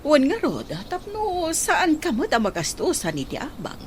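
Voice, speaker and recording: A lively, swooping voice of a kindly madam of the house in a radio drama. She sounds concerned and inquisitive, over faint noise. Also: sits high, moderate pace. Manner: concerned, questioning, generous. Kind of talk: Philippine radio drama acting.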